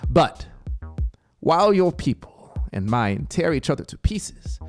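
A man's voice: fairly high pitch, sing-song, measured pace, pitched above the performer's own voice. A man voicing a dignified middle-aged male AI rival in a story, formal, polite and condescending. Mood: smugly courteous. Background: music soundscape.

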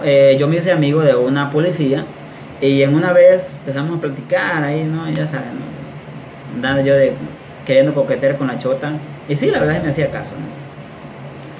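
Animated man in his thirties, echoey room. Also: a little high. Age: 30s. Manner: animated, gestures a lot, slangy and frank. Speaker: vlogger. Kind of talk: casual storytelling to camera. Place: small bare tiled room indoors. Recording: echoey room, faint background noise.

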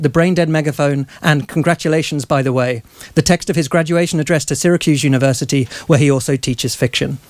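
A man's voice: on the high side, darkish tone, no echo. A man in his thirties reading a polished, formal introduction as host of a live author event. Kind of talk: scripted introduction read to an audience. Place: small crowded bookshop, indoors. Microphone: microphone on stand.

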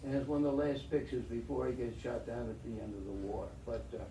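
A man's voice: medium pitch, little pitch movement, a little fast, mellow. An older man giving a slide talk as a veteran pilot, wry and chatty, heard from a far camera in a meeting room. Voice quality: chatty, wry storyteller with restarts. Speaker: guest speaker, veteran pilot. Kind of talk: slide lecture to an audience. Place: meeting room with projector screen. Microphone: far camera in the audience. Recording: roomy, distant and faintly noisy.

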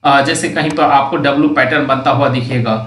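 A man's voice: medium pitch, steady tone, brisk, fairly bright tone. A man narrating a market chart walkthrough, explaining each index and reading out price levels as he points at the screen. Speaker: stock market analyst. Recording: roomy, distant mic.

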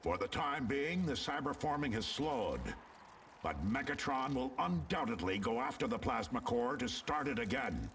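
An actor playing a noble, resolute robot commander in a cartoon-style video game, solemn and commanding. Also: male voice, mid-range voice, expressive, moderate pace, slight background noise, natural voice. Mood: grave, resolute. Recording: distant, under loud music.

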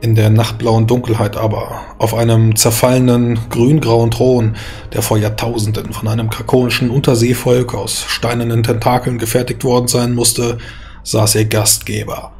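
A man reading scripted dark fantasy narration as a storyteller, measured and solemn. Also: mid-range voice, level tone, faint music behind. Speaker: storyteller, game master.

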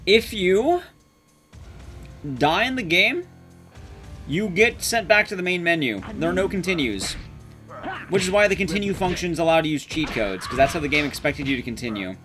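A man in his thirties commentates live on a game in a fairly high voice that swings up and down a lot. He talks casually and jokingly to his viewers, with music playing behind him. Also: lively intonation, normal speed, pauses often, bright, forceful tone. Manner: casual, joking, swears mildly. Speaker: streamer and speedrunner. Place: small indoor room at home, streaming.

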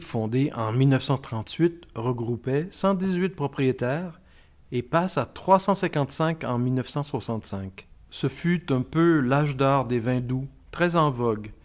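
A man reads documentary narration from a script. His delivery is steady and even, in a voice of ordinary pitch.

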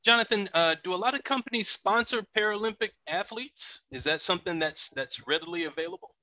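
A man's voice: high-pitched, a little fast, bright, forceful tone, dry recording, low-quality recording. A middle-aged man co-hosting a podcast who puts short, friendly questions to the guest over a headset on a video call. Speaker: podcast co-host. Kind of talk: interview questions.

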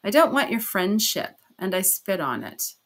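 A woman's voice: medium pitch, sing-song, moderate pace, a bit bright. A tormented, furious man under suspicion, in a storybook reading by a woman. The delivery is imperious and emphatic, accusing and defiant. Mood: defiant rage. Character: furious, defiant, haughty, tormented male suspect. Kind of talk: dramatized audiobook character reading.

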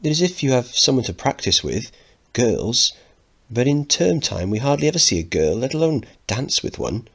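A man's voice: medium pitch, very animated, moderate pace, vocal fry, breathy edge, voice pushed up, heavily acted. A man voicing an awkward, polite upper-class fourteen-year-old boy, mildly exasperated, in a dramatic play reading.

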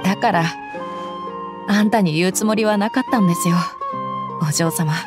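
Woman doing a tough young mercenary, blunt, rough casual talk, mid pitch, faint music behind. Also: normal speed, lightly acted. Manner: rough, casual, relaxed speech.

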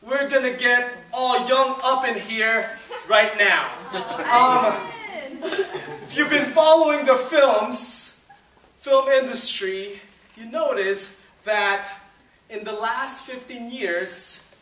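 Young guy from the philippines giving a chatty church talk, high voice, echoey hall, heard from far. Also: takes it slowly, sharp tone, a little hiss or hum. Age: 20s. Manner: chatty and upbeat, many "um"s and "you know"s. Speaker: student ministry leader. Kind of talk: informal sermon talk. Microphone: far camera, no mic seen. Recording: roomy hall sound from a distance.

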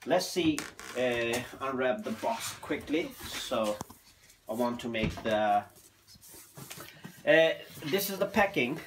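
A man presenting an informal hands-on unboxing in a hesitant, halting way, speaking non-native English in a room at home. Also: medium pitch, lively intonation. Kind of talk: informal hands-on product demonstration. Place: small room at home, indoors. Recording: noticeable background noise, handling clicks.

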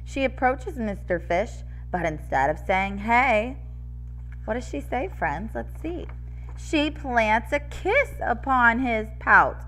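Young lady teacher doing storytime, bright voice, bit scratchy, talks to little kids. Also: medium pitch. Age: young adult. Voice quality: slightly scratchy. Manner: animated, addressing small children, sing-song rhyme reading. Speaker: preschool teacher. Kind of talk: read-aloud for preschoolers. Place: classroom-style room with decorated wall, indoors. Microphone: headset microphone.

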